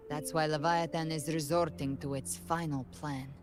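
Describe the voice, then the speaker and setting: Game role: actress playing resolute young warrior woman, low and grave, formal delivery, music clearly underneath. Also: steady tone, close to an everyday voice. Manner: solemn, formal, measured. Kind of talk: scripted video game dialogue.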